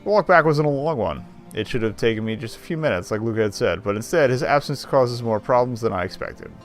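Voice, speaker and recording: A man with a middling pitch reads visual novel narration aloud as a game streamer. He reads expressively and drops in wry, casual asides, with faint music behind him. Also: expressive, fast talker.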